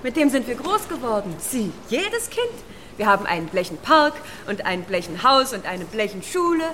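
A woman's voice: fairly high pitch, big pitch swings, moderate pace, fairly bright tone, a little hiss or hum. An actress playing a joyful young art historian and new mother in a radio drama, effusive and full of short exclamations. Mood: proud, amused. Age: young adult. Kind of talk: conversational radio drama acting.

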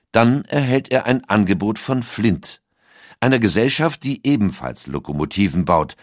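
A man narrating a documentary in a measured, expressive storytelling manner. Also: on the low side, no echo, studio quality.

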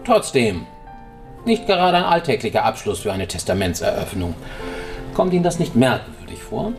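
An actor playing a sardonic police inspector who questions people formally in a radio crime drama. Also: male voice, mid-range voice, big pitch swings, moderate pace, creaky. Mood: sceptical, sardonic. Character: dry, probing male police inspector on a night call. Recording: studio radio play, faint music.

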